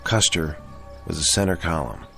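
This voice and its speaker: An actor playing a seasoned, level-headed army tank sergeant in a radio drama, measured and plain-spoken. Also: male voice, sits low, expressive, vocal fry, fairly bright tone, faint music behind, full character voice. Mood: grave, recounting.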